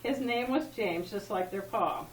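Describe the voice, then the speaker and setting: A woman's voice: medium pitch, measured pace. A woman reading a rhyming poem aloud at a party in an informal, amateur way, stumbling now and then. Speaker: family member reading a tribute poem. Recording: echoey room, distant mic, background noise.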